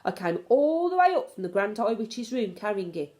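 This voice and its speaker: A plucky little boy-mouse from a children's storybook, voiced by a woman reader. The delivery is eager, earnest and determined, with animated rises and falls. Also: medium pitch, normal speed, natural voice.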